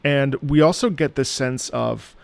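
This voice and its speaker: A man who is an opera singer giving reaction commentary on game music, halting and thoughtful as he searches for words. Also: medium pitch, gravelly, smooth, dry recording. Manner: breaks into sung demonstrations of musical phrases.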